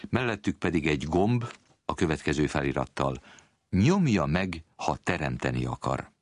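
A man with an extremely deep voice reads from a prepared text. His delivery is measured and precise, and the recording is dry and close. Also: sing-song, dry recording. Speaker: reader of book excerpt. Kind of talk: scripted literary reading.

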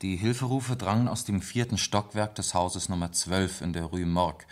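This is an actor playing a dutiful male police lieutenant in a radio play. He has a deep voice. He reads official notes formally and with care, hesitating between items. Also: fairly even intonation, moderate pace, natural voice. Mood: formal, sober. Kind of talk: German radio play acting.

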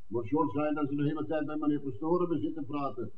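A man's voice: fairly high pitch, fast, close to an everyday voice. An actor playing an anxious, distrustful village conspirator who mutters his worries in a 1960s Dutch radio drama. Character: anxious, distrustful village man in a smuggling ring. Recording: distant, phone-like old radio recording.

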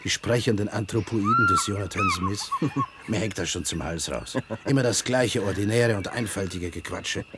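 A man's voice: on the low side, wildly swooping pitch, moderate pace. A man playing a jaded, sardonic tour guide in a radio play, with an offhand, grumbling manner. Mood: weary, sardonic. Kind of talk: naturalistic radio play acting. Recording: very noisy outdoor ambience with birds.

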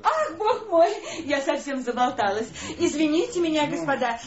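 An actress playing a gushing, coquettish opera diva in a radio play. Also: female voice, high voice, big pitch swings, moderate pace. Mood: airy, apologetic. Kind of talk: theatrical radio play acting. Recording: distant, echoey, muffled, under loud music.

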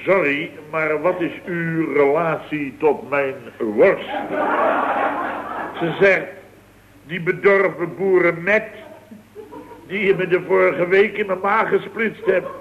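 A man's voice: fairly high pitch, takes it slowly, a crowd in the background. An actor playing a blustering, exasperated Dutch company boss in a late-sixties radio comedy, exclaiming his way through anecdotes. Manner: exclamatory, anecdotal, full of tag questions. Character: blustering, exasperated male boss of a building firm. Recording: dull old radio recording.

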